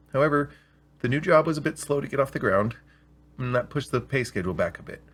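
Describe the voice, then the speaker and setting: A man with a slightly rough voice, hosting and reading scripted stories aloud in an expressive, deliberate way. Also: medium pitch. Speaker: storyteller and show host. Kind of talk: scripted story reading with spoken intro.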